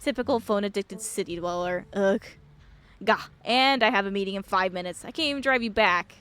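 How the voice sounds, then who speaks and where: A woman's voice: medium pitch, fairly quick, fairly bright tone, smooth, lightly acted. A woman voicing an irritable, scornful female boss of a mine in a video game, scolding and dismissive. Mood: irritated, scornful.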